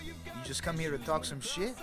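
A cocky, hostile thug in a video game, with a fairly high voice. He swaggers and needles, with clear music playing behind him. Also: big pitch swings, a little fast. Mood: cocky, taunting. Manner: taunting, swaggering. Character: cocky, hostile young male street thug in a bar.

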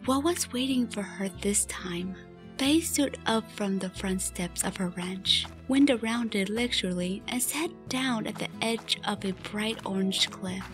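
A woman reading scripted story narration as the narrator of a fan radio play. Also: a little high. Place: recorded voice-over with music behind.

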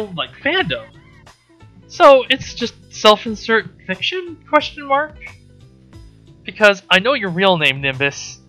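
Bright, higher-voiced man, sarcastic reader. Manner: incredulous, exasperated, profane asides. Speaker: host reading a novel aloud and mocking it. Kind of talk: sarcastic read-aloud with off-the-cuff commentary.

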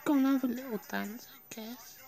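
High-voiced woman with a heavy cold. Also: moderate pace, vocal fry, dark tone. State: stuffy with a cold. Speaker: gameplay commentator. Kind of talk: casual gameplay commentary. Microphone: phone screen recorder mic.

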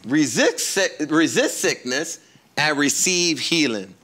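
A man's voice: on the high side, animated, slow, pushed and bright. A middle-aged man, a pastor, teaching faith in an earnest, emphatic way during a studio conversation. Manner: earnest, emphatic, quotes scripture and everyday sayings. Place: studio set with couches.

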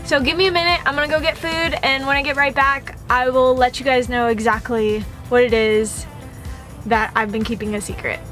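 A woman's voice: high-pitched, steady tone, normal speed, a bit bright, faint music behind. A young woman chatting casually and informally to the camera as a vlog host, recorded inside a truck cab. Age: young adult.

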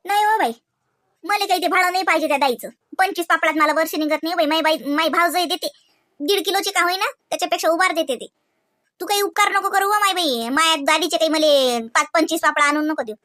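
A woman's voice: very high-pitched, fast talker, pushed and bright, no room sound, clean studio sound. A woman voicing a proud, shrewd older village aunt in a Marathi comedy cartoon, grumbling emphatically with a Varhadi accent from Vidarbha. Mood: indignant, bargaining.

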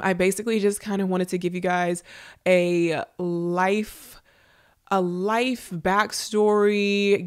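A woman's voice: fairly low pitch, clear, resonant tone. A young American woman with a congested, nasal voice, chatting casually and directly to listeners as a solo podcast host, close on a studio mic. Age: late 20s to early 30s. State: congested with a runny nose. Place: small indoor room with shelving. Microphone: close studio podcast microphone with headphones.

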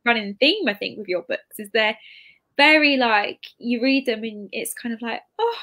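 A woman's voice: on the high side, lively intonation, normal speed, bright, forceful tone. A woman, hosting an interview in a casual, chatty, informal way. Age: 20s. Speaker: interviewer, bookshop event host. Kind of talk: casual chat. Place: indoor room, on a video call. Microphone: computer mic on a video call.

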